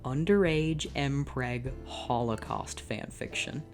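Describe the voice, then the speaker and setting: A woman's voice: extremely low pitch, slow pace, soft background music. A young woman reading a fan fiction aloud and breaking off into exasperated, sarcastic comments. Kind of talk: dramatic reading with comic asides.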